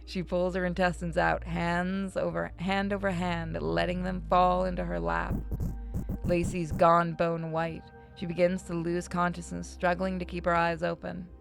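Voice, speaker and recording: A woman's voice: on the low side, little pitch movement, unhurried, vocal fry, soft background music. A woman reading a story aloud expressively as a streamer, with casual asides. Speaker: streamer reading a visual novel aloud to chat.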